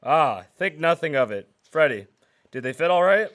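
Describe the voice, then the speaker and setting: Man playing a kindly, higher-voiced newspaper reporter. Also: big pitch swings, normal speed, fairly bright tone. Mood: friendly, delighted. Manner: wholesome, friendly, old-fashioned exclamations. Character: mild-mannered, kindly male newspaper reporter. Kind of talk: 1940s-style radio drama acting.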